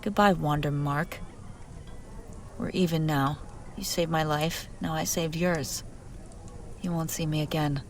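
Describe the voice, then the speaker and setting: A woman's voice: low-pitched, fairly even intonation, a little slow, long pauses, slight background noise. A woman playing a brusque, sardonic but secretly caring female bounty hunter in a scripted fantasy audio roleplay, speaking straight to the listener. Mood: brusque, guarded. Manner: sarcastic, teasing, often dropping to hushed urgency.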